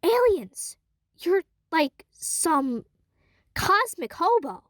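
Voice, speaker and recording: A woman voicing an impulsive, curious young woman who gets excited and bursts out easily. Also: very high-pitched, dry recording, studio quality, pitched above the performer's own voice, exaggerated voice. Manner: excitable, quick to outbursts.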